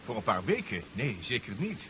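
An actor playing a fussy, anxious professor in a 1970s radio drama, with a fretful delivery. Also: male voice, mid-range voice, wildly swooping pitch, fairly quick. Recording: muffled 1970s radio, loud engine noise.

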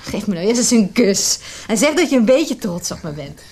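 An actress playing an eager wife seeking approval, speaking intimately in a naturalistic radio drama. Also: female voice, medium pitch, very animated, normal speed, a little mellow, slightly rough. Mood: eager, assertive. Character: newly promoted wife, eager, self-assured yet seeking approval.

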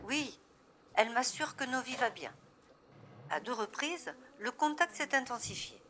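A woman's voice: sits high, sing-song, unhurried, a bit bright, exaggerated voice. A woman playing an anxious, loving mother heard over a distant call link. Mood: concerned.

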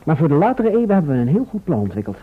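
An actor playing a courteous, eager travel agent from the future in a Dutch radio play, with a formal manner and Netherlands accent. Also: male voice, fairly high pitch, very animated, very fast, slightly rough, phone-quality sound. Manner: formal, businesslike, polite. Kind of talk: old-fashioned radio play acting. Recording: thin, band-limited old radio recording.

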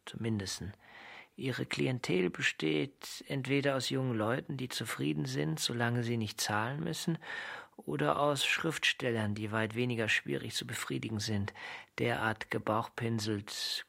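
A man's voice: on the low side, gravelly, a bit bright, breathy edge, heavily acted. An actor playing a sardonic, brooding male novelist, talking in a wry, literary way in a radio drama. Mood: scornful. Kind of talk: radio drama acting and narration.

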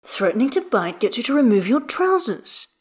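A woman's voice: mid-range voice, expressive, moderate pace, breathy edge, voice pushed up. A woman voicing a flamboyant, teasing man with an arch, theatrical manner in an audio story.

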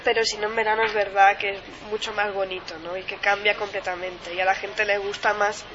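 A woman's voice: mid-range voice, flat delivery, brisk, lo-fi sound, a crowd in the background. A woman in her twenties from Switzerland, a master's student speaking as a guest in a casual radio interview, often adding tag questions. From Ticino, Switzerland.

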